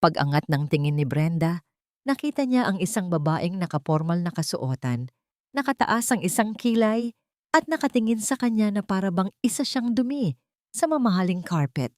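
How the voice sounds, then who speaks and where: Lady narrating a drama story, lots of pitch swing, dry sound. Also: normal speed, dry recording. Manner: expressive storytelling. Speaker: audiobook narrator.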